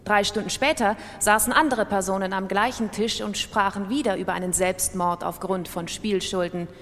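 A woman's voice: fairly even intonation, normal speed, fairly bright tone. Woman reading in a reverberant space. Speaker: reader in a radio drama. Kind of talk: scripted literary reading of first-person accounts. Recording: long reverb, faint rumbling noise.

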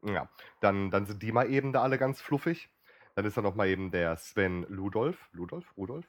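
A man from the Ruhr area, a podcaster guest chatting casually, rambling and self-mocking, a bit hoarse, often clearing his throat. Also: on the low side, expressive, normal speed, dry recording. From Ruhr area, Germany. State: slightly hoarse. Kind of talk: casual chat.

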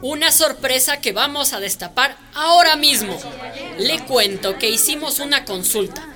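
An actress playing an upbeat community radio presenter, welcoming and announcing in a radio drama. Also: female voice, sits high, animated, moderate pace, a crowd in the background. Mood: upbeat presenting. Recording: music behind the voice.

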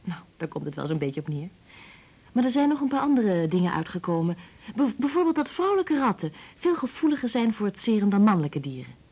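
An actress playing a kind, patient woman scientist and protective mother in a Dutch radio play, explaining things in a measured way. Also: medium pitch, big pitch swings, darkish tone, slightly rough. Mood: friendly, explaining.

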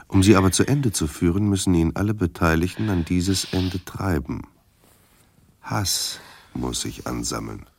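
A man narrating a noir audio drama in terse, measured, brooding sentences. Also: low-pitched, husky, heavily acted. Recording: rain and street noise behind voice. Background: rain, traffic ambience.

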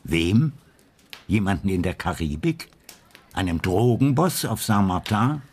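An actor in a radio crime drama playing a wry detective husband who muses in a deliberate, playful manner. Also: male voice, low-pitched, very animated, breathy edge, exaggerated voice. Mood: musing, earnest.

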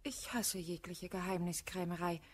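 An actress playing a frank, sober young gentlewoman in a classical verse radio comedy, measured and candid. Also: fairly low pitch, breathy edge. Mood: gravely candid.

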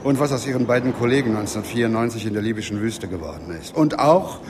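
A man acting an embittered, unyielding investigator in a radio crime drama, speaking deliberately and with emphasis. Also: mid-range voice, expressive, background noise, natural voice. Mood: stern, pressing. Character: embittered, dogged investigator, persecuted but unyielding.

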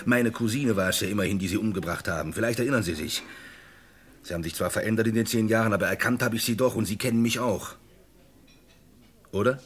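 A man playing an insistent, agitated, well-mannered gentleman in a radio crime play, talkative and fussy. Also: on the low side, fast talker, long pauses, a little hiss or hum, natural voice. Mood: agitated, accusing. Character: agitated, accusing well-mannered male visitor to London.

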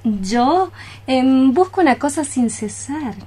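A young cockroach maiden in a radio drama, tender and melodramatic. Her voice is very high, with a sighing, plaintive delivery. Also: animated, slow pace, warm tone, slightly rough, full character voice. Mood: wistful. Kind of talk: radio theatre acting.

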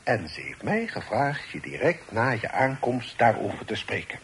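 An authoritative, middle-aged father in a radio play. He speaks deliberately and formally at a medium pitch, with lively rises and falls. The old recording carries faint noise. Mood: businesslike, firm. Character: authoritative, worldly father advising his grown son. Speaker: radio drama actor. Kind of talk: Dutch radio play acting.

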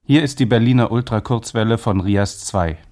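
A man giving a measured, formal scripted announcement in 1970s radio style. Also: on the low side, darkish tone.

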